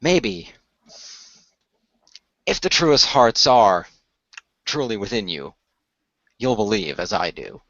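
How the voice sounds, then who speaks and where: A man playing a courteous, earnest keeper of a magical secret in a radio play, speaking in a formal, measured storyteller's way. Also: fairly low pitch, sing-song, fairly bright tone, no echo, highs cut off, pitched below the performer's own voice, exaggerated voice. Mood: inviting.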